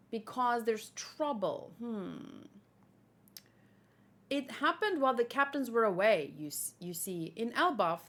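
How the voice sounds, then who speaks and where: A woman hosting a casual read-along, reading comic dialogue aloud and chatting as an animated fan. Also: a little high. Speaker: host reacting to a manga chapter read-along.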